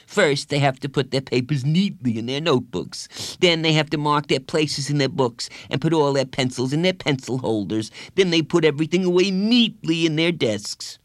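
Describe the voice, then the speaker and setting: A man's voice: fairly high pitch, very animated, a little slow, pushed and bright, no echo, studio quality, pitched above the performer's own voice, exaggerated voice. A man voicing a boastful, defiant schoolboy bully with an emphatic, whiny delivery in a children's audiobook. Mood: scornful.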